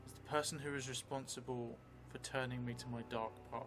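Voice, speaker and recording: A man in his thirties hosting a livestreamed tabletop role-play game, speaking in a measured, reflective way. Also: mid-range voice, level tone, noisy background. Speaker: solo role-play game player and stream host. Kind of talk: livestream tabletop storytelling and chat. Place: indoors, small stream set at a table. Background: music.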